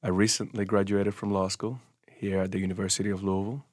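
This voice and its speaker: Low, flat-voiced man. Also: no room sound, clean studio sound. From Cuba. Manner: thoughtful, unhurried, many pauses and "uh" fillers. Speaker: storyteller. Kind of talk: personal first-person storytelling.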